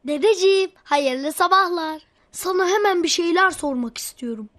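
A woman voicing an eager, inquisitive, devout young child in a children's cartoon, talking in an excitable, childlike way. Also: extremely high pitch, moderate pace. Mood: earnest, curious. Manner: childlike, excitable, full of questions.

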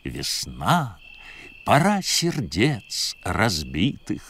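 A man's voice: on the low side, huge pitch swings, very slow, a little hiss or hum, full character voice. A man voicing an easygoing, dreamy, romantic father in a cartoon, with theatrical, wistful delivery. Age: adult. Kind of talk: cartoon voice acting. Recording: loud guitar music behind.

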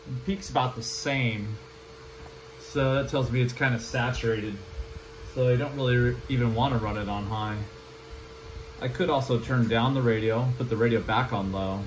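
A man giving a casual, halting hands-on demo as a radio hobbyist, thinking aloud as he goes. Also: mid-range voice. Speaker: amateur radio hobbyist demonstrating equipment. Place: small indoor room with radio gear. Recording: steady air-conditioning noise in room.

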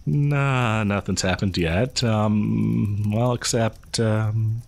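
A man's voice: on the low side, steady tone, drawn-out words, gravelly, heavily acted. A man playing a chatty, creepily friendly killer posing as a partygoer in a horror audio roleplay, rambling hesitantly and conspiratorially with chuckles. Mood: chatty, amused. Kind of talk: horror audio roleplay, casual storytelling to listener.

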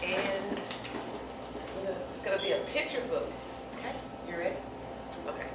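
Expressive woman in a very echoey room. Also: medium pitch, moderate pace. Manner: expressive, playful, teasing questions. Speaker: author reading her poem to children. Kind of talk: animated poem reading and chat with children. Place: bare indoor room with office chairs. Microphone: far camera microphone. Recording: echoey room, far mic, noisy.

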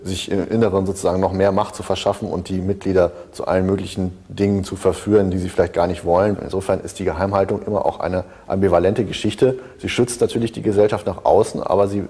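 A man's voice: deep voice, steady tone, quick pace, few pauses, mellow, breathy edge. A man explaining as an interviewed expert in a documentary, with filler words between points. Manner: explanatory, uses fillers like sozusagen.

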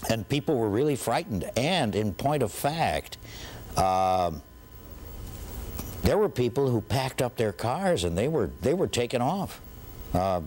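A middle-aged man recalling events for a documentary interview in a halting, deliberate way. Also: mid-range voice, very animated, a little mellow, a little hiss or hum.

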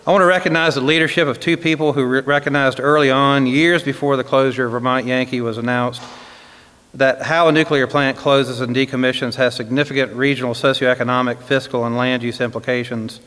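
A middle-aged man from Southwestern Virginia, an executive director giving a prepared public address at a podium microphone, measured and dotted with fillers. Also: medium pitch, steady tone, fairly bright tone, clean tone. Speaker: regional commission executive director. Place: hall with a seated audience. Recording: reverberant hall.

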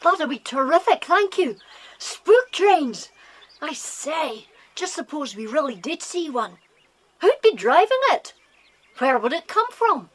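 A woman's voice: high-pitched, slow, full character voice. Eager, swooping British boy. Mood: excited, eager. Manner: eager, excitable. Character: eager, excitable farm boy craving adventure. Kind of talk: children's audio drama acting.

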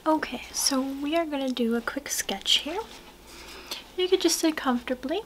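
A young woman speaking softly, often in a whisper, in a gentle, unhurried ASMR personal-attention roleplay, murmuring close to the listener. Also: high-pitched, slight background noise, low-quality recording. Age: young adult. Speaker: ASMR artist roleplaying a portrait painter.

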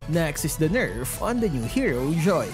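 A gaming video host with a medium-pitched, very expressive voice. The delivery is scripted explainer narration, set over background music. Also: normal speed. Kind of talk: scripted game update narration.

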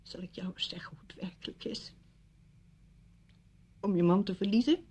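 An actress playing a proud, grieving middle-aged widow in a radio play, sardonic, weary and defensive. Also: female voice, mid-range voice, lively intonation, moderate pace, dark tone, breathy edge. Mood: bitter grief. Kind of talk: Dutch radio play acting.

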